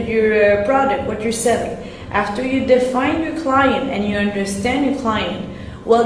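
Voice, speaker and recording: A woman whose first language is French gives a live-streamed sales talk in English, hesitating and often repeating and restarting her words. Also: fairly high pitch. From French-speaking. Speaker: sales coach. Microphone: live-stream camera. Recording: very echoey room, faint noise.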